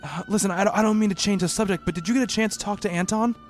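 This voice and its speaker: A man's voice: sits high, steady tone, racing, natural voice. A man playing a worried, rational researcher in an eerie radio play, speaking as a thoughtful narrator and character over music. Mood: hesitant, concerned. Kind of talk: radio play dialogue and first-person narration.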